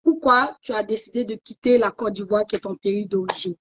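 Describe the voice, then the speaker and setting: A woman's voice: mid-range voice, a little fast, slightly rough, dry recording. A woman hosting an informal livestream interview. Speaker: host, interviewer. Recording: dull, lo-fi, dry online audio.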